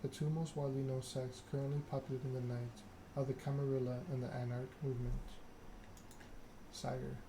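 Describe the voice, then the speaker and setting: Male ASMR streamer, mid-pitched and flat in intonation, measured halting delivery, noisy ambient recording. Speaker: game streamer, host. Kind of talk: soft-spoken ASMR reading and stream chat.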